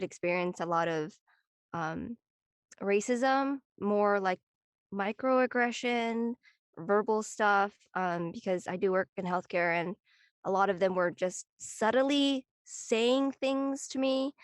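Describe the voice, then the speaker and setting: Female actor chatting off script. Medium pitch, informal and searching for words, echo-free recording. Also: clean studio sound. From Northern California. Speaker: actor in a play reading. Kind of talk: casual unscripted introduction and chat.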